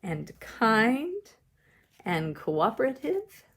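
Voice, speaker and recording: A woman leading a drawing lesson, explaining each step simply and with gentle encouragement, as if to children. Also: fairly high pitch, big pitch swings, extremely slow, breathy edge. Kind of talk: instructional demonstration, step-by-step.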